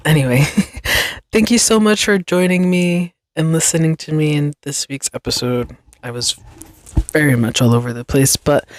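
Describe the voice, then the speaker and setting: Young woman, solo podcast host, slow and meandering delivery, dry close mic. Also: sits low, a little mellow, no echo. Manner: slow, reflective, rambling with fillers. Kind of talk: casual solo podcast monologue.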